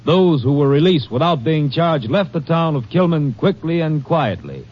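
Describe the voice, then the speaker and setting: Muffled, husky male radio crime narrator. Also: on the high side, big pitch swings, normal speed. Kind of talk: scripted 1950s crime drama narration.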